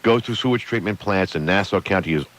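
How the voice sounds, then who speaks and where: A man's voice: low voice, fast talker, a bit bright, slight background noise. A man co-hosting a radio talk show, chatty and wry in manner. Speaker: radio co-host.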